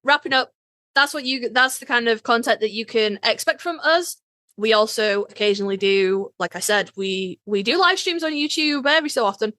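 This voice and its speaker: A woman's voice: fairly high pitch, animated, moderate pace, bright, forceful tone, no room sound. A woman co-hosting a podcast at a desk microphone, talking casually in a slangy, salty way. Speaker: podcast co-host.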